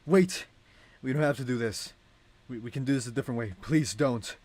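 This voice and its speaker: A man's voice: mid-range voice, a little fast, a little mellow, lightly acted. Heroic agent turned teacher read by a man, earnest and protective, informal script reading. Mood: pleading.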